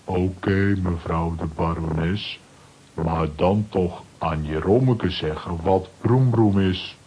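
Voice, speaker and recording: A simple, caveman-like strongman butler for a children's radio play. The voice is low, dark and slow, in short clipped phrases that stay unflappable. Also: breathy edge, exaggerated voice. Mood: stubborn, unbothered. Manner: clipped telegram-style phrases. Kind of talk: children's audio drama acting.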